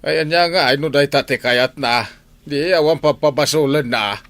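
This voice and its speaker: An actor playing an aging farmer, a resigned and grumbling village elder, in an Ilocano radio comedy drama. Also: male voice, fairly high pitch, normal speed, fairly bright tone. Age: older.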